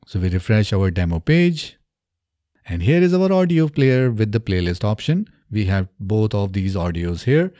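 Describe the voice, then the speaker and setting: A man in his thirties narrating a step-by-step software tutorial in a methodical, instructional way, close on a studio microphone. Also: on the low side, no room sound. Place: small indoor home studio. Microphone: close studio microphone on boom arm.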